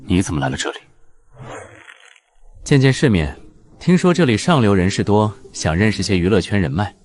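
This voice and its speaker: A man acting a cool, sharp-tongued young man in a Chinese romance audio drama, sardonic and composed. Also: fairly low pitch, animated, moderate pace, slight background noise, natural voice. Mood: calm.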